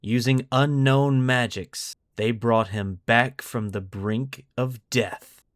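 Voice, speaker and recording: A man's voice: mid-range voice, fairly even intonation, slow pace, no room sound. Man hosting a podcast, dry close sound. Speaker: podcast host. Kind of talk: lore storytelling, semi-scripted narration.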